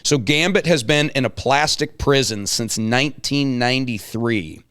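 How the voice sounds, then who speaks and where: Clear-voiced middle-aged man hosting a chat. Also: medium pitch, moderate pace, a bit bright. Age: 40s. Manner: informal, slangy, rambling asides. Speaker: host. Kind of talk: casual chat, hosting an interview.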